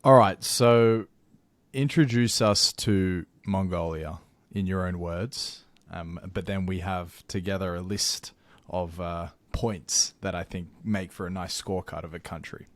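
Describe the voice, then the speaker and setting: Male podcast host, low voice, halting questions, dry close sound. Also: steady tone, dry recording. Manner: hesitant, searching questions with fillers. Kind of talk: conversational interview.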